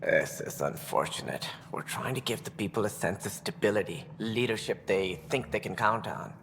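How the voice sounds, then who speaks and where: A man's voice: fairly low pitch, animated, moderate pace, creaky, fairly bright tone. Sci-fi game casting: self-important male alien station director, formal politician's delivery, echoey hall with background noise. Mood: grave, measured. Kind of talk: video game cutscene acting.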